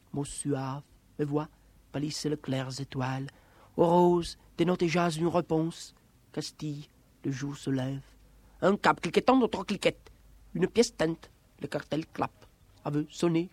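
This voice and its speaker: A man with a fairly high voice recites literary text in a theatrical way. He moves between hushed phrases and emphatic ones. The sound is dry and close, with no room around it. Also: dry recording.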